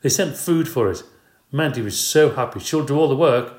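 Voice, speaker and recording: A man's voice: lowish voice, moderate pace, darkish tone, echoey room, natural voice. A man reading aloud, voicing a tired, angry working mother who complains and speaks sarcastically.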